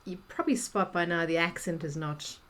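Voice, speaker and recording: South African woman, lowish voice. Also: a little fast, fairly bright tone. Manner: chatty, many restarts and fillers. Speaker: guest, executive coach. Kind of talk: podcast interview conversation.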